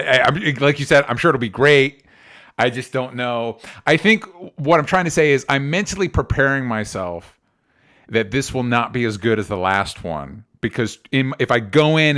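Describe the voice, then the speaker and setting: A man's voice: medium pitch, a bit bright, clean tone. Man chatting casually, often restarting his sentences. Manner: hesitant, self-correcting, circles back mid-sentence. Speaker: podcast co-host reviewing movie trailers. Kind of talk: casual movie chat.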